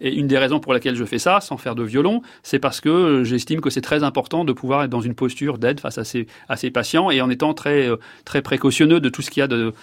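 A man's voice: mid-range voice, brisk, few pauses, no echo. A man in his fifties, a neurologist guest, giving long reflective answers with many fillers and restarts. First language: French.

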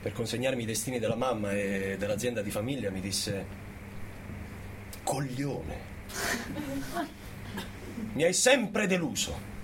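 An actor playing a pompous, self-righteous conservative business owner, sententious and rhetorical, in a satirical theatre monologue. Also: male voice, on the low side, expressive, unhurried, pauses often, noisy background. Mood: sententious, solemn.

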